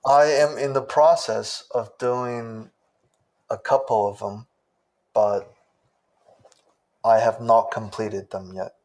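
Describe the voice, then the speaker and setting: A man from southern China answering interview questions in a measured way, pausing often with "uh". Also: medium pitch, steady tone, darkish tone. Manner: slow, measured, with frequent "uh" pauses. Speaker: interview guest.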